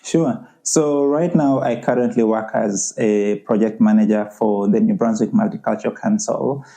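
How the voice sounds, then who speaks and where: A man's voice: lowish voice, normal speed, mellow. A young adult man with a Ugandan accent, speaking earnestly as a podcast guest in a conversational interview and often saying "you know".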